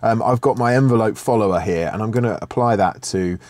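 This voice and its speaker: A middle-aged man teaching music production software in a screen-share tutorial, relaxed and thinking aloud with fillers and restarts, recorded in a home studio. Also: lowish voice, fairly quick, no room sound.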